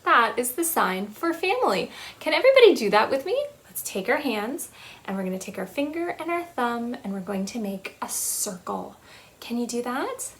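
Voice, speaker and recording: A woman's voice: fairly high pitch, big pitch swings, normal speed, a bit bright. A woman in her thirties leading a children's storytime in a small room, talking in a sing-song, teacherly way and singing simple songs with hand gestures. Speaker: children's librarian. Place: small playroom with shelves, indoors.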